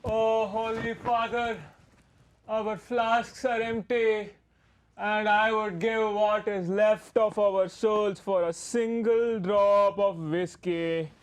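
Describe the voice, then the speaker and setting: A woman's voice: mid-range voice, steady tone, slow pace, lightly acted. A woman playing a despairing, whiskey-craving traveling actor in a stage play, with a lamenting, melodramatic delivery. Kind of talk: theatrical stage acting. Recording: slightly noisy stage-play recording with clicks.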